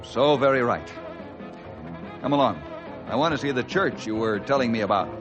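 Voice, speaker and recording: An actor playing an earnest, level-headed forest ranger in a radio drama, with a measured way of talking. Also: male voice, medium pitch, dull audio, over music, close to an everyday voice. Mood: grave, disapproving. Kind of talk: old-time radio drama acting.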